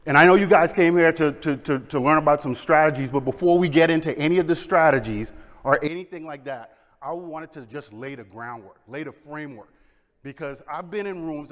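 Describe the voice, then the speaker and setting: A middle-aged man presents at a conference, full of energy and often polling the audience for a show of hands. His voice sits a little high for a man. A long reverb trails his words through the big hall. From Bahamas. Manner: energetic, engages audience with questions and shows of hands. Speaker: conference speaker.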